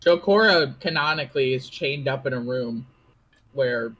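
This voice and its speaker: A man's voice: medium pitch, animated, moderate pace, a bit bright, slight background noise, rough recording. A man in casual stream banter who teases his co-host with playful insults while keeping an eye on chat messages.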